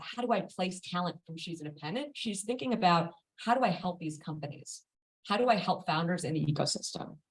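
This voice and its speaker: A woman's voice: fairly low pitch, low-quality recording. A woman hosting a live panel conversation, introducing guests in a friendly, conversational way in an event space. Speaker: panel moderator.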